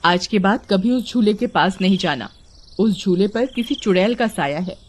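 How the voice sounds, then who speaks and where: A woman's voice: medium pitch, expressive, moderate pace, a little hiss or hum, natural voice. A woman voicing a bossy, worried elder sister who instructs and scolds in a cartoon horror story.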